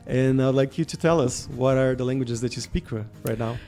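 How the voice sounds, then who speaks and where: A man's voice: mid-range voice, fairly quick, mellow, faint music behind. A man hosting a casual interview over a video call. He talks with enthusiasm and often fills his speech with "uh" and "like". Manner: enthusiastic, many fillers like "uh" and "like". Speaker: interview host.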